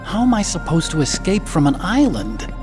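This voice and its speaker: An actor playing an earnest, sensitive, nerdy young robotics engineer and guilt-ridden idealist in a video game, with a boyish manner. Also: male voice, fairly high pitch, very animated, normal speed, over music. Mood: determined. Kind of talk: video game cutscene acting.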